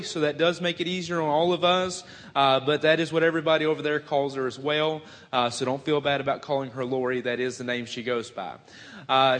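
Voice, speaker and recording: A man's voice: fairly high pitch, a bit bright. A man in his twenties with a Southern US accent, a missionary preacher introducing himself before his sermon in a folksy way with many "uh" fillers. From Virginia, US. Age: 20s. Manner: folksy, frequent "uh" fillers, self-deprecating asides. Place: church sanctuary. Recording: long hall reverb.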